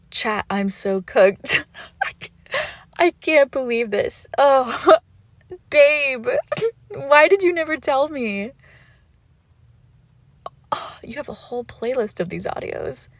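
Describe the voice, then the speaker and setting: A woman's voice: fairly high pitch, slow, long pauses, no room sound. Woman acting a teasing vampire girlfriend, crackly close voice. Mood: amused mocking.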